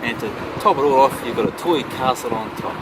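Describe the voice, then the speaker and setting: A man's voice: mid-range voice, a little fast. A middle-aged man with a New Zealand accent, giving casual travel narration with dry, wry understatement. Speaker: travel vlogger. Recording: loud vehicle and outdoor noise.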